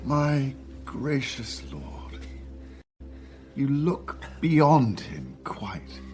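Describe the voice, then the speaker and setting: Courtier in shakespeare radio play, reassuring, slow w lots of pitch movement, music behind. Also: male voice, very animated. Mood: reassuring, persuasive. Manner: deliberate, persuasive. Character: measured, reassuring courtier counselling his sovereign. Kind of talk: Shakespearean radio drama acting.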